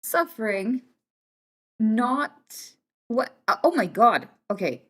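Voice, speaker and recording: A woman's voice: fairly high pitch, big pitch swings, slow pace, husky. A young British woman hosting a livestream, chatting casually and teasing her viewers. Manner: chatty, teasing, thanks viewers warmly by name. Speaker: livestream host. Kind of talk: casual chat with live viewers.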